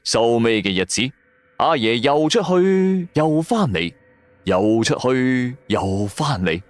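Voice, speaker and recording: A man's voice: mid-range voice, sing-song, takes it slowly, no room sound. A man with a Hong Kong Cantonese accent narrating a first-person story for a radio drama in a colloquial storytelling manner. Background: music.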